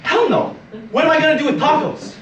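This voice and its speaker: An actor playing an exasperated young armed robber in a stage comedy, loud and demanding. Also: male voice, medium pitch, very fast, muffled recording, crowd noise, natural voice. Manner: loud, demanding, strained shouting. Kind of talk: live comedic stage acting. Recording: echoey stage from far off.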